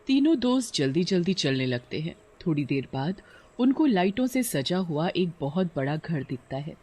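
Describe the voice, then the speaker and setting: A man's voice: a little high, big pitch swings, moderate pace, a little mellow, husky. A man narrating a scripted horror cartoon story as storyteller.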